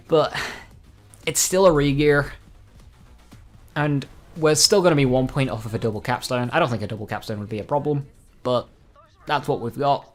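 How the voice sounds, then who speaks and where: A man talking casually through game news as a video creator, informal and dropping dry, joking asides. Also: medium pitch. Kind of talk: casual gaming news commentary.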